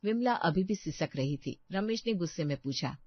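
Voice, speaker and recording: A woman's voice: on the low side, lively intonation, moderate pace, dry recording, dull audio. Woman narrator, dry storytelling voice.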